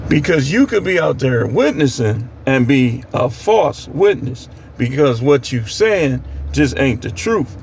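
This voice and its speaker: A man's voice: medium pitch, big pitch swings, a little slow, creaky, hardly any pauses, a little hiss or hum. An American man preaching informally on scripture in a plain-spoken, admonishing way, repeating phrases for emphasis. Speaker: street preacher.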